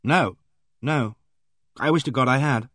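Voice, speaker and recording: A man's voice: medium pitch, unhurried, a bit bright, no echo, clean studio sound. A man voicing a tired, worried doctor who speaks vaguely and hesitantly. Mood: weary.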